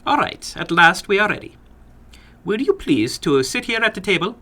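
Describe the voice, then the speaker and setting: A man voicing a funny, sinister little foreign gambler in a horror story, brisk and courteous, with precise, stilted non-native phrasing. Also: sits high, big pitch swings, pushed and bright, voice pushed up, exaggerated voice. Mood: brisk, eager. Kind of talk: dramatic horror story reading.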